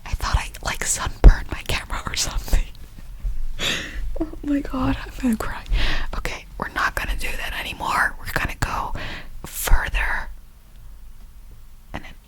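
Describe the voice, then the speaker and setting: A woman's voice: sits high, a bit bright, rough recording. A young woman vlogging in a whisper, rambling, giggly and self-conscious as she talks to her viewers. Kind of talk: whispered ASMR vlog.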